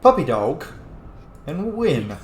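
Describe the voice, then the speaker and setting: A man's voice: fairly high pitch, sing-song, takes it slowly. Casual male gamer in a roomy space. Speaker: gaming streamer, player. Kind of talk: casual let's play commentary. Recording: roomy echo, faint game noise.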